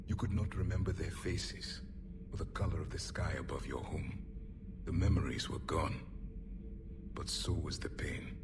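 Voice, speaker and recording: An actor playing a grave, mournful alien warrior, last survivor of his people, speaking formally and deliberately in a video game. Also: rumbling low. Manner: solemn, deliberate, formal phrasing. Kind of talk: cinematic video game dialogue acting.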